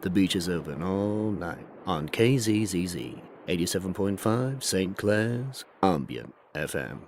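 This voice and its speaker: A man playing a mellow, dreamy late-night ambient radio DJ, delivering soothing, unhurried, hypnotic patter. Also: deep voice, lively intonation, slight background noise.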